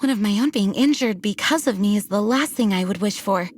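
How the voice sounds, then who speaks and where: A woman playing a dutiful young knight commander in a video game, speaking in an earnest, formal, measured way. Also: medium pitch, no room sound, studio quality, lightly acted. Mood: resolute. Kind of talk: video game character acting.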